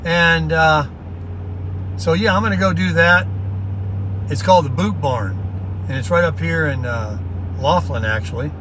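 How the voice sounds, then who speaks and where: Middle-aged guy rambling folksy and upbeat from his car, voice a bit high, faint road hum. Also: a little slow, pauses often. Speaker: vlogger. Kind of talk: casual rambling talk while driving. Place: inside a moving car. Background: road and car noise.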